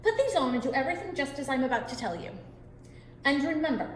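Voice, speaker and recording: A woman's voice: high-pitched, expressive, fast talker, slight background noise. Woman putting on a sugary sly giant's wife. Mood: urgent. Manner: sugary, coaxing. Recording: roomy hall with long reverb.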